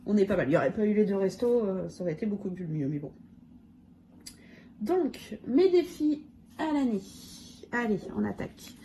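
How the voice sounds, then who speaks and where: Hoarse woman counting her budget aloud. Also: medium pitch, animated, moderate pace, long pauses, dark tone, slight background noise. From France. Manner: counts money aloud, many fillers. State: hoarse from a cold. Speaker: budget vlogger. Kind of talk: casual budget review talk.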